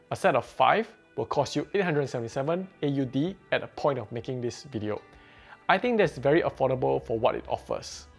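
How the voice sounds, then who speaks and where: A man reviewing a headset in a plain, measured, explanatory way, as a scripted voice-over. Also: mid-range voice, gravelly, slightly rough. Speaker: tech reviewer. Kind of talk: scripted product review narration.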